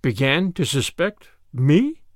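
A man's voice: sits high, sing-song, fairly quick, no echo, clean studio sound. A man voicing a flamboyant, boastful giant thief in a storybook, with a theatrical, taunting manner. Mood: intense curiosity. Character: flamboyant, gloating giant master thief, male, boastful and mocking.

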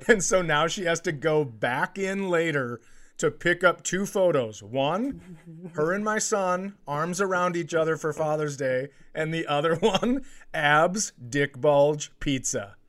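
A man's voice: a little high, unhurried, fairly bright tone. A middle-aged man casually telling a funny story, swearing freely and acting out the dialogue as he goes. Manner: crude, swearing, acts out dialogue. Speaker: podcast host, comedian. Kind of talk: casual comedic anecdote telling.

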